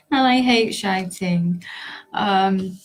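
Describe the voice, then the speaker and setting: A woman in her thirties talks slowly and unhurriedly, her voice sore and a little hoarse. She is a life coach chatting to her viewers in a loose, rambling way, full of ums and small laughs. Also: medium pitch. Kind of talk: casual talk to camera.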